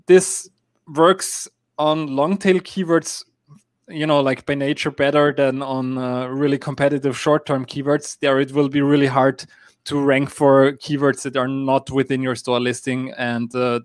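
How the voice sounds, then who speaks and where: A man's voice: medium pitch, no room sound, clean studio sound. A man from Austria whose first language is German, explaining app marketing in a live-stream Q&A with many fillers and hedging phrases. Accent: German-speaking Austrian. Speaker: app marketing guest expert.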